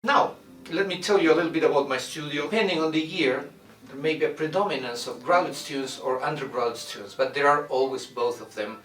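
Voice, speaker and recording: A middle-aged man from Argentina, a violin professor introducing his studio in a measured way, with many "uh" pauses as he searches for words. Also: a little high, a bit bright, distant mic, faint music behind. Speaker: violin professor and orchestra director. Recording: roomy echo, mic set back.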